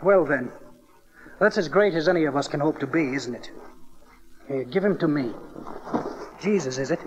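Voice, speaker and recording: An actor playing an older temple priest in a dramatized audio Bible, musing and cynical yet formal and ceremonial. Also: male voice, fairly high pitch, moderate pace, highs cut off, lightly acted.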